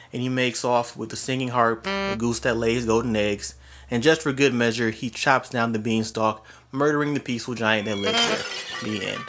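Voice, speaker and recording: A man with a mid-pitched voice delivers scripted comic narration. He is sardonic, with slangy and profane phrasing. Light music runs underneath. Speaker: host and comedic storyteller.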